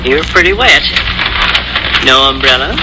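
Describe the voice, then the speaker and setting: A man acts a kindly grocer in a 1940s radio play. He talks slowly and folksily, fussing along with a lilting range. The old recording is heavily noisy. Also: medium pitch, animated, lightly acted. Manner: folksy, fussing, hums between phrases. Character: kindly, unhurried neighborhood grocer. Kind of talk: 1940s radio drama acting.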